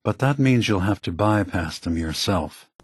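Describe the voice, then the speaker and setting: A man voicing a calm, remorseful old synthetic android leader in a video game, speaking in a measured, grave, deliberate way. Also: sits low, husky, no echo, studio quality. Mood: measured, concerned.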